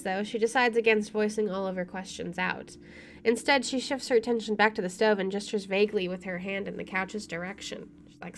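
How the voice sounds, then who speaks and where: A woman streamer reading a visual novel's narration aloud in an expressive, storytelling style. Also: mid-range voice. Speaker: let's play streamer. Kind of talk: expressive read-aloud narration of game text. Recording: faint background noise.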